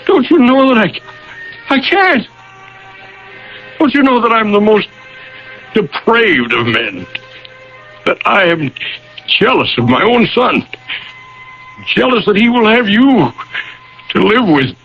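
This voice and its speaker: An actor playing an anguished, self-loathing older professor in a vintage radio drama, speaking in halting, repeated phrases. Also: male voice, high voice, very animated, soft background music, heavily acted. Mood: anguished confession. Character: tormented, self-loathing old professor, father of the groom. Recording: dull vintage radio broadcast recording.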